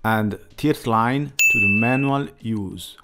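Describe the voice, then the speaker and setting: A man's voice: on the low side, slow pace. A man in his forties, host of a woodworking channel, giving a plain scripted voice-over that walks through a build in slightly non-native phrasing. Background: faint background music.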